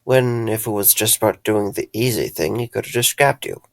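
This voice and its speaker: A man's voice: lowish voice, moderate pace, gravelly, dry recording, clean studio sound. A man playing a caring, dryly wry friend in an audio drama, earnest and a little hesitant. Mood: reassuring.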